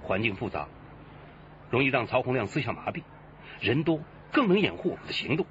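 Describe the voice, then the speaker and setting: A man plays a resolute, methodical detective in a radio crime drama. His mid-pitched voice has lively intonation. The recording is slightly muffled, with faint noise. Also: brisk, close to an everyday voice. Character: resolute, orderly male police detective. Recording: muffled band-limited audio, faint background noise.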